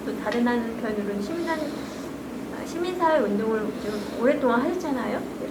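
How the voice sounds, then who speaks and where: A middle-aged woman from Korea's Chungcheong region giving halting, hesitant congratulatory remarks at a book launch. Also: a little high. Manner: hesitant, halting, frequent restarts. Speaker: guest speaker. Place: library event hall. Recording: echoey hall, loud background noise.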